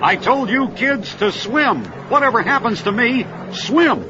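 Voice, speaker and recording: A man's voice: extremely high pitch, expressive, unhurried, dull audio, noisy background. An older man playing a firm, authoritative grandfather and boat captain in a children's adventure drama. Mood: urgent.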